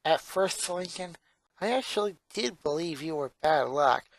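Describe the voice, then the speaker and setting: A performer voicing a four-year-old girl genius from a cartoon fan story, monotone and sarcastic, with pedantic, wordy phrasing. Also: medium pitch, a little slow, gravelly, dry recording. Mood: matter-of-fact.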